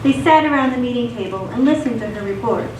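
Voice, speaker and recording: Woman narrating a stage radio play, high voice, bit far from mic. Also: expressive, fast talker. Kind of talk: scripted radio theatre narration. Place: theatre stage. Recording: echoey stage, distant mic, background noise.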